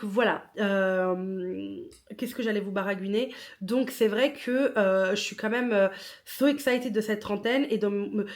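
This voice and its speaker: Chatty woman mixing in English words. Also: medium pitch. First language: not French. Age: 30s. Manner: chatty, switches into English mid-sentence, laughs at herself. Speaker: podcaster and writer. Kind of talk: casual personal monologue.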